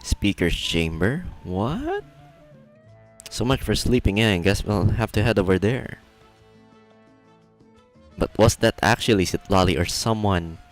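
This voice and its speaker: Deep voiced guy streaming a game, chatting casually, bit of music in the back. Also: very animated, a little slow, long pauses. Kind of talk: casual live game commentary, reading dialogue aloud.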